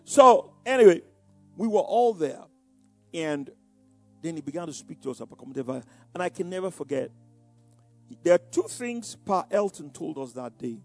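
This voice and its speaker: High-voiced older Nigerian preacher. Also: male voice, dry recording. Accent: Nigerian Yoruba. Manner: repeats words, frequent "you know" fillers. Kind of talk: sermon-like personal testimony.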